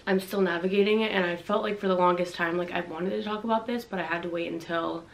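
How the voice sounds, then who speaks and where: A woman's voice: fairly low pitch, fairly even intonation, quick pace, poor audio. A young woman vlogger with a congested voice from a cold, speaking candidly and earnestly to the camera in her bedroom. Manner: earnest, confiding, reads from notes. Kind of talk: candid personal talk to camera. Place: bedroom, indoors, dim.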